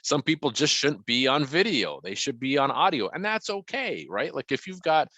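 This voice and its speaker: A middle-aged man with a booming voice gives emphatic, conversational business advice, often checking in with listeners. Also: sing-song, normal speed, sharp tone, no room sound. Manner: emphatic, punchy, frequently checking in with "right?". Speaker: guest marketing consultant.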